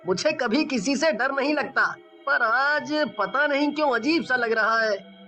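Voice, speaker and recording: A timid young traveller, voiced by an actor in a cartoon, nervous and prone to stammer. The voice is very high and bright, with unhurried delivery. Faint music runs underneath. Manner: nervous, stammering when scared. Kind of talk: cartoon voice acting.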